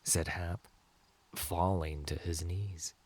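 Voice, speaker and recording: A man narrating a dramatic fantasy story in a measured, solemn manner. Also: sits low, steady tone, darkish tone, husky.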